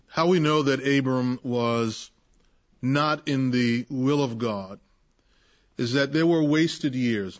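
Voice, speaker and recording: A man leading a group Bible discussion, preaching emphatically and acting out the voices he quotes. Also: mid-range voice. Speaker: Bible study leader. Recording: muffled lo-fi recording.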